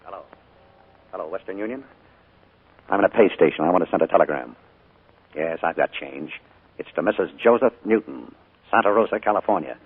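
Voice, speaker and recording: An actor playing a calm, sinister man on the run, speaking in a quiet, smooth and husky way with a menacing edge, in 1940s radio acting. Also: deep voice, fairly quick, long pauses, heavily acted. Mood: brisk. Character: calm, sinister middle-aged man hiding from pursuers. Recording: tinny 1940s radio broadcast.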